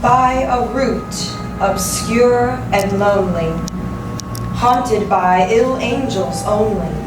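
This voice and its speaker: A woman giving a solemn, deliberate and theatrical dramatic reading of poetry. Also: mid-range voice. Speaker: poetry reader. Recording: roomy, distant voice over music. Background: music.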